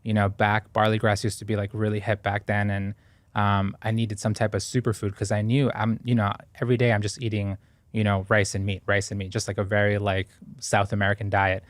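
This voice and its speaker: A man's voice: fairly low pitch, flat delivery, a little fast, no echo. A man in his thirties from Ecuador, a podcast guest talking earnestly and thoughtfully about his past, with frequent fillers and restarts. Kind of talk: reflective interview talk.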